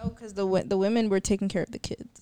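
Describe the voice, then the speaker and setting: A woman's voice: medium pitch, level tone, rapid-fire, warm tone. A young American woman chatting casually among friends as a podcast co-host. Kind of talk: casual group chat.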